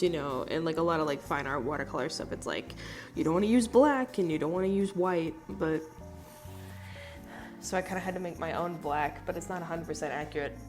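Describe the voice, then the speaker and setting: A young woman with a stuffed-up, nasal voice, rambling casually over her art footage with lots of fillers and swearing. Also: low voice. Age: young adult. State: congested, nasal. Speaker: art vlogger. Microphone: phone.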